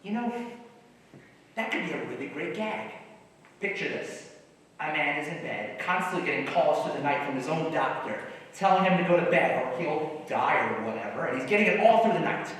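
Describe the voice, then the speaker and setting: A man's voice: on the high side, lively intonation, moderate pace, fairly bright tone. A man playing an ailing, grouchy comedy writer of thirty-nine on stage, wisecracking and theatrical, swinging between mutters and outbursts. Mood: animated joking. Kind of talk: live one-act stage comedy acting. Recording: echoey hall, distant mic, faint noise.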